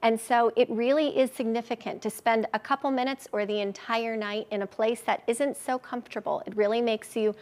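A woman in her forties, a charity spokesperson, talking eagerly and warmly as a guest in a live TV studio interview, recorded on a lapel mic. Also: on the high side, fairly even intonation, brisk, hardly any pauses. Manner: upbeat, eager, promotional. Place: TV news studio.

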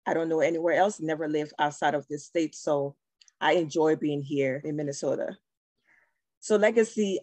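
A woman's voice: deep voice, darkish tone, dry recording. A middle-aged woman from Liberia, a nonprofit founder, introducing herself on a video call in an earnest, storytelling way. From Liberia, West Africa.

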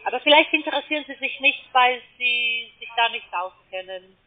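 High-voiced woman on a tinny phone line. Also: expressive. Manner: hesitant, halting, persuading. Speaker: call centre trading salesperson. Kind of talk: unscripted sales phone call. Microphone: telephone.

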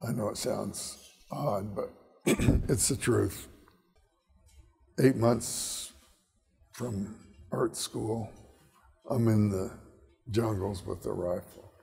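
A man's voice: fairly low pitch, fairly even intonation, slow, gravelly, long pauses, darkish tone, slightly rough. An older American man around seventy, candidly telling his own story in an interview. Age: older, about 70. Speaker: artist and war veteran being interviewed. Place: large indoor museum gallery. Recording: reverberant gallery hall.

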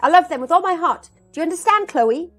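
A woman voicing an excitable, passionate young boy in a storybook, insistent and emphatic. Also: extremely high pitch, fast, soft background music, voice pushed up. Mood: pleading, passionate.

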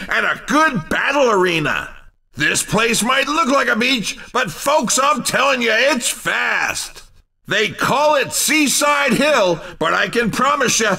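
An actor playing an excitable, upbeat male race commentator in a video game, with showy, hyped announcer delivery. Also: very high-pitched, vocal fry, far from the mic, full character voice. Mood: excited, teasing.

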